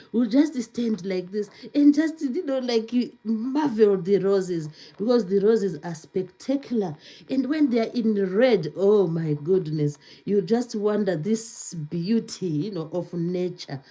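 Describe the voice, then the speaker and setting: A woman hosting a live stream in a slow, unhurried chat. Her voice is mellow and a little high, and she repeats words and laughs easily as she greets viewers one by one. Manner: chatty, repeats words, laughs often, reads comments aloud.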